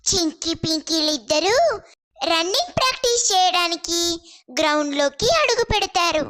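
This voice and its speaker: A woman's voice: extremely high pitch, animated, moderate pace, sharp tone. A woman reading a scripted storybook narration for children's moral tales.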